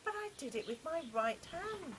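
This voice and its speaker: Older woman as overjoyed storybook father, high-pitched, loud astonished exclamations, excitable, noisy recording. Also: normal speed. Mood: amazed, exultant. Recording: noisy home-style recording, constant background noise.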